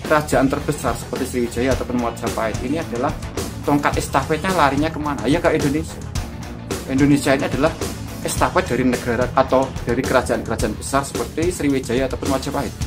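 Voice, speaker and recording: A man's voice: mid-range voice, steady tone, fast talker. A man with a thick Javanese accent who hosts a channel, giving commentary that lectures and asks rhetorical questions. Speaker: YouTube channel host. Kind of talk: commentary after a reaction clip. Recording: music playing underneath. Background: guitar music.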